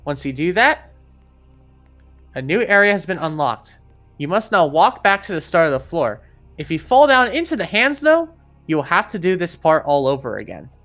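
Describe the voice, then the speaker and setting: A woman's voice: on the low side, big pitch swings, moderate pace, fairly bright tone, faint music behind. A woman narrating an instructional video game walkthrough in a step-by-step, directive way, as a guide for players. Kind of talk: instructional gameplay walkthrough voice-over.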